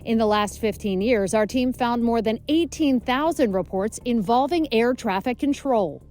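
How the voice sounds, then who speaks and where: A woman's voice: a little high, normal speed, darkish tone, faint music behind. A woman reporter delivering scripted narration for an investigative television news report.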